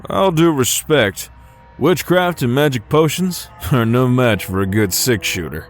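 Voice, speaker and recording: A man's voice: medium pitch, lively intonation, unhurried, close to an everyday voice. Man playing a wry Old West gunslinger. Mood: wry, skeptical. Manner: folksy, wry. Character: laid-back, skeptical Old West gunslinger outlaw. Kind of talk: fan-dub game character acting. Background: music.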